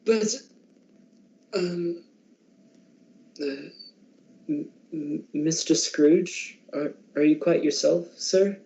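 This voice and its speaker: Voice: medium pitch, steady tone, drawn-out words, creaky, long pauses, mellow. An actor playing a meek, nervous male clerk in a radio play, timid and stammering as he addresses his boss. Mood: bewildered. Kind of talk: school radio drama acting. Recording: muffled lo-fi home recording.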